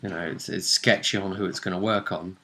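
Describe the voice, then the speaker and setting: A man's voice: sits low, steady tone, a little fast, sharp tone. A man speaking as game master, casually recapping a tabletop role-playing session in a jokey, conversational way.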